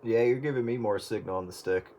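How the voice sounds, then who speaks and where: Lowish-voiced man over very noisy radio. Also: fast. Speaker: amateur radio operator. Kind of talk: casual radio chat.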